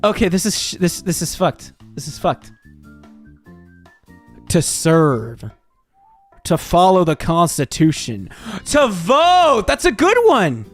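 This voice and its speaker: An American man livestreaming in casual chat, excitable and sweary, reacting and reading things aloud. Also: high-pitched, takes it slowly, soft background music. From Texas, United States. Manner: excitable, swearing, jumps from muttering to shrieks. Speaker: livestream host.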